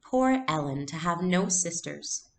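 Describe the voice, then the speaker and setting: A woman voicing a thoughtful young elder sister from a storybook, earnest and lively, sometimes sarcastic. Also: on the low side, a little slow, vocal fry, roomy sound.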